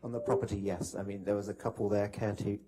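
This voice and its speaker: A man's voice: lowish voice, little pitch movement, fast talker, warm tone, slight background noise. A man testifying as a witness in court, recounting from memory in polite, hesitant, broken phrases.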